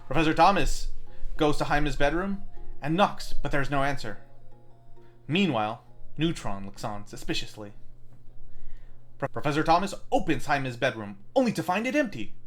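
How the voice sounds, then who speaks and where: A man hosting a film review, reading a scripted movie recap with deadpan, wry asides over music. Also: fairly high pitch, normal speed, pauses often. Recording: music bed under the voice.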